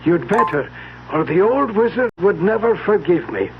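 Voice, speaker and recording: A high male voice for a loyal old-time radio manservant. He is gentle, deferential and a little halting, heard through a tinny, noisy vintage recording. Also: animated. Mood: kindly, reassuring. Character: courteous, kindly male household servant, loyal and attentive.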